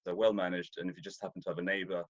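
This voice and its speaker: Deep-voiced man, dull lo-fi sound. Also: level tone, very fast. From Ethiopia. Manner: rambling, many restarts and fillers. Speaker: interview guest, deer stalker. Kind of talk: casual interview chat. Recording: dull lo-fi audio.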